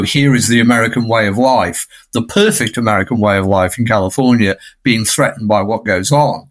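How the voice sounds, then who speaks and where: A man with a medium-pitched voice speaks as a scholarly podcast guest. He explores ideas in a discursive, unscripted way, often turning statements into tag questions.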